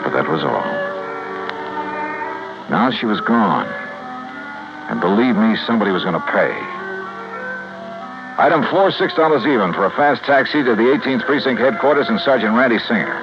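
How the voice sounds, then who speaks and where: An actor playing a grieving, hot-tempered insurance investigator with an American accent, in 1950s radio detective drama style. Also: male voice, fairly low pitch, animated, normal speed, pauses often. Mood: grieving, brooding. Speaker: lead actor and narrator. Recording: dull vintage radio recording with music.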